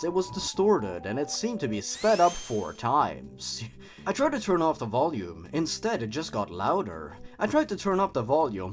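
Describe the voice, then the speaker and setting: A man reads a horror tale aloud in a highly animated voice, his pitch swinging widely. He hosts with a mocking edge, slipping into sarcastic, exasperated asides. Music plays behind him. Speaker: host. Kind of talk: dramatic reading of a horror story with mocking asides.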